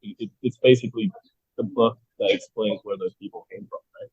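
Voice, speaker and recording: A man's voice: mid-range voice, wildly swooping pitch, fairly quick, darkish tone, husky, dry recording, lo-fi sound. A man, a guest on a podcast chat, talking in a stammering way and repeating words as he starts his sentences. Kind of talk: casual chat.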